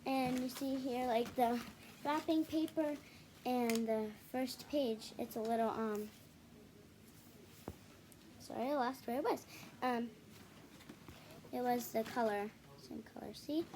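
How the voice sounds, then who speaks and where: A woman hosting a children's read-aloud video, reading a picture book with casual, halting, self-correcting asides to viewers. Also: on the high side, darkish tone, a little hiss or hum. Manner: halting, self-correcting, apologetic while showing pages.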